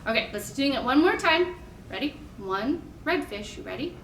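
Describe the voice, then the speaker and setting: A high-pitched American woman speaks in an echoey room with faint background noise. She leads toddler storytime, addressing small children in simple, encouraging phrases and questions. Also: big pitch swings, normal speed, fairly bright tone, distant mic. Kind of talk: picture-book reading and sing-along for toddlers.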